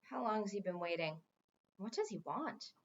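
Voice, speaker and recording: A woman's voice: mid-range voice, sing-song, normal speed, muffled recording. A woman voicing a blunt, informal king in a storybook, plain-spoken, wry and impatient. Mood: wry, brisk.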